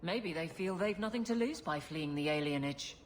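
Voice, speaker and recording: A woman's voice: fairly low pitch, expressive, moderate pace, a little hiss or hum, natural voice. An actress playing an earnest, worried female guard captain.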